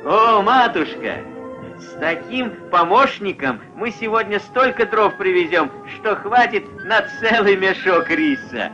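An actor playing an eager, earnest, respectful poor woodcutter in a puppet-film fairy tale. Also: male voice, high-pitched, expressive, measured pace, music in the background. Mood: eager, cheerful. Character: eager, polite poor young woodcutter, male. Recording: dull old film soundtrack.

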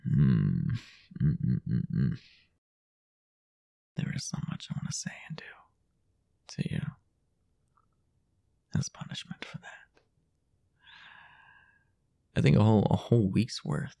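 Man acting deep, gravelly possessive boyfriend. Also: wildly swooping pitch, mellow, full character voice. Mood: teasing, dominant. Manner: halting, teasing, breathy half-whispered asides. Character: possessive, jealous, dominant young boyfriend. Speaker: audio roleplay voice actor. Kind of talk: improvised intimate boyfriend audio roleplay.

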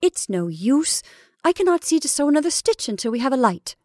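A woman's voice: sits high, very animated, a little fast, darkish tone, dry recording, clean studio sound, voice pushed up. Woman playing an impatient, peremptory scolding woman. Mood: frustrated. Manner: insistent, scolding. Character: impatient, peremptory married woman busy with sewing.

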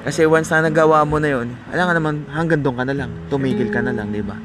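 A man's voice: on the high side, fast talker, loud music behind the voice. A young Filipino man vlogging outdoors at night, talking in an earnest, reflective way with plenty of fillers, close to a handheld camera. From Philippines. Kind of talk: casual chat. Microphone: camera held close in selfie framing.

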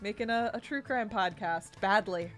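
A woman's voice: mid-range voice, moderate pace. A woman co-host of a game playthrough speaks in a middle register. She chats casually as she plays, with faint game music underneath. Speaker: gaming channel co-host. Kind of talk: casual chat while playing a game.

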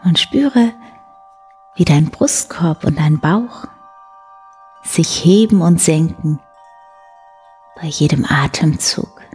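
Bedtime host, female, forties: slightly low soft voice, unhurried and soothing, gentle music behind. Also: lively intonation. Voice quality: soft, breathy. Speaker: host of a bedtime story show. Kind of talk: guided sleep meditation, soft bedtime storytelling. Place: indoor room beside a wood stove.

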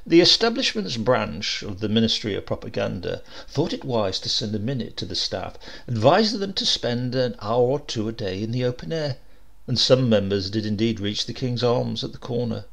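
A man's voice: medium pitch, expressive, normal speed, gravelly, a bit bright. A man reading a comic short story aloud as narrator, in a dry, wry storytelling manner. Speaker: audiobook reader.

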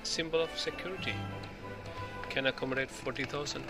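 A man filming in an indoor exhibition hall, reading the display panels aloud slowly, pausing between phrases and restarting when he slips. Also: medium pitch, steady tone. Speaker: video host touring an exhibition. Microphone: handheld phone camera. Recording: loud music in the hall. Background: music playing.

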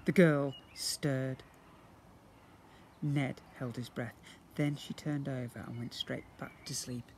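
A British man in his fifties reads a children's storybook aloud like a teacher, theatrically, with drawn-out emphasis and acted sound words. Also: medium pitch, fairly even intonation. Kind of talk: expressive children's storybook reading. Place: outdoors beside brick houses. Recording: noisy outdoor amateur video recording.

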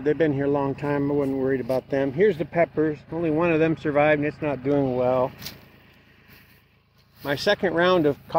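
A man giving a plain-spoken, unhurried walk-through of his farm garden outdoors, filmed on a handheld camera as he walks. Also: a little high, darkish tone, slight background noise, low-quality recording. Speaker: homestead vlogger. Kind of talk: casual walk-through narration. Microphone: handheld camera carried while walking.

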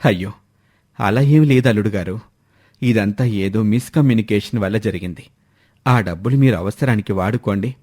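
A man voicing an elderly father of grown children, anxious and conciliatory, in an animated moral story. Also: fairly low pitch, moderate pace, pitched below the performer's own voice, lightly acted. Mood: placating.

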